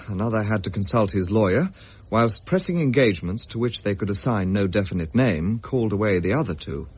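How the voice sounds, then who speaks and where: Gentleman narrator, low pitch, husky, formal, measured, period ghost story drama. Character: gentleman narrator recounting his own ghost story, unsettled.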